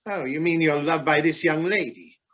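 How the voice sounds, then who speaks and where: A man acting a crotchety, outspoken old gentleman, formal and pompous, in a radio drama. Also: fairly high pitch, normal speed, lo-fi sound, close to an everyday voice. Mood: indignant, blustering. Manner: blustering, pompous.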